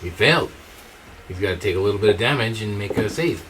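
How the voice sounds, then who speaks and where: A man's voice: on the low side, animated, fast talker, fairly bright tone, recorded from a distance, a little hiss or hum. A man chatting casually as a player at a tabletop role-playing game.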